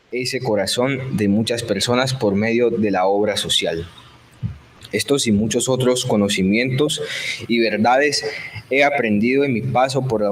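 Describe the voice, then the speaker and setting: A man's voice: mid-range voice, poor audio. Earnest man giving prepared testimony.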